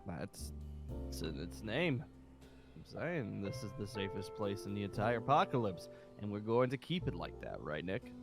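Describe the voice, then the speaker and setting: A man's voice: fairly low pitch, very animated, normal speed, gravelly, over music. A man playing an impatient military colonel running a survivor camp, brusque and sarcastic, with stop-start phrasing, in an improvised game roleplay. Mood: firm, impatient.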